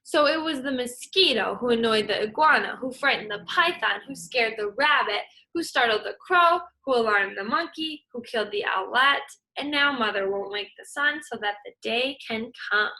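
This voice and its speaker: A woman voicing a stern male lion king who questions the animals in a measured, repeating way. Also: on the high side, expressive, pushed and bright, rough recording. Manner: measured, repeating the chain of blame.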